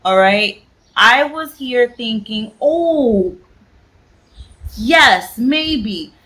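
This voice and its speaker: A woman's voice: fairly high pitch, expressive, drawn-out words, fairly bright tone. A blunt, sassy woman ranting in a casual reading vlog, repeating phrases for emphasis. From the Bronx, New York. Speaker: book vlogger. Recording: noisy home vlog recording.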